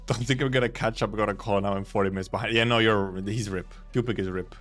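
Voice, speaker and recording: A young male streamer chatting between story lines, relaxed and joking, with some swearing. His voice sits a little low, and faint music plays underneath. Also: fast talker. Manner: casual, jokey asides with swearing. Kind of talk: live-streamed game story reading.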